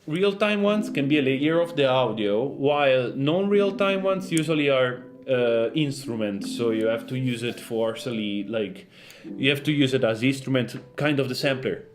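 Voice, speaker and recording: A man giving a casual, loosely phrased software tutorial as a sound designer. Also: medium pitch, animated, normal speed.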